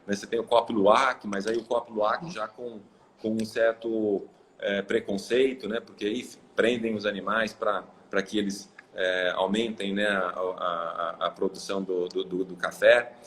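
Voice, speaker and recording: Low-voiced brazilian man rambling on a call. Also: steady tone, moderate pace, fairly bright tone, a little hiss or hum. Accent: Brazilian Portuguese. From Ribeirão Preto, Brazil. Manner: rambling, many fillers and restarts. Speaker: guest, coffee exporter. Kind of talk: casual live interview. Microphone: video call.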